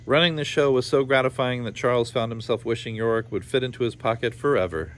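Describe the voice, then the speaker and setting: A man's voice: mid-range voice, fast talker. A man reading a children's story aloud in a playful style, with informal asides. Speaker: storybook reader. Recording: clear background noise behind the voice.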